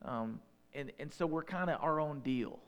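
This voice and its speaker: A man in his thirties with a Northern Illinois accent, preaching a sermon in a relaxed, conversational way with folksy asides. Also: a little high, normal speed. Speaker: pastor.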